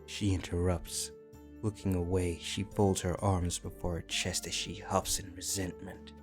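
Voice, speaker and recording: A man's voice: low-pitched, level tone, unhurried. A man reading a scripted second-person fantasy story as its narrator. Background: faint music.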